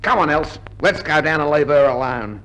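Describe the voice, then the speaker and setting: An actor playing a cocky, irritable husband with an Australian accent in a 1950s radio comedy. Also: male voice, a little high, lively intonation, moderate pace, fairly bright tone. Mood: indignant. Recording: faintly noisy 1950s radio recording.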